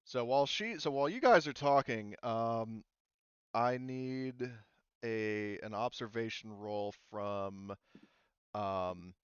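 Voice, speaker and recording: Male game master, halting narration with self-corrections, resonant tone, dry and slightly muffled recording. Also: medium pitch, smooth, no room sound. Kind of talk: narrating a tabletop roleplaying game.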